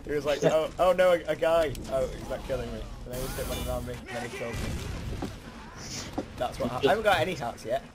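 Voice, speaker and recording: Excitable young man gaming over loud background noise. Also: medium pitch, fast talker. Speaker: gaming video host. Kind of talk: casual gameplay commentary. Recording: noisy game audio behind the voice.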